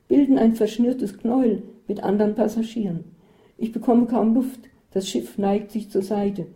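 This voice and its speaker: A woman reading a first-person story aloud in a measured, descriptive way. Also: mid-range voice, warm tone, poor audio. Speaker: narrator.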